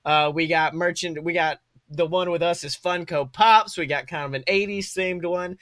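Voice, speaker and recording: A podcast co-host chatting casually in an animated, sweary way. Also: medium pitch, normal speed, dry recording.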